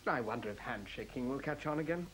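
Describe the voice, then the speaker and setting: A self-assured middle-aged scientist, acted by a man in a futuristic radio play. He is wry and matter-of-fact, yet his pitch moves around a lot. A faint hiss lies under the recording. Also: lively intonation, fast, fairly bright tone, close to an everyday voice, low-quality recording. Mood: wry, confident.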